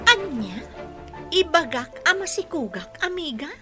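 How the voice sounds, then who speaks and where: A woman's voice: high voice, big pitch swings, measured pace, music in the background. A woman playing a scheming young woman in an Ilocano radio drama, speaking with an Ilocano accent. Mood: scheming, eager. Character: scheming young woman claiming pregnancy to trap her boyfriend.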